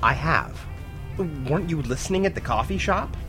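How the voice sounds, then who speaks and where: A man's voice: on the high side, lively intonation, moderate pace, over music. A man playing an earnest science professor in an audio drama, explaining things hesitantly. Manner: hesitant, filler-laden, explanatory.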